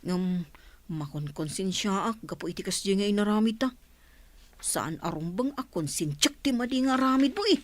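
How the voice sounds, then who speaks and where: A man's voice: high-pitched, lively intonation, normal speed, no room sound. A man playing an uneasy, guilt-ridden accomplice in an Ilocano radio drama, with a Filipino accent. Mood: uneasy, guilty.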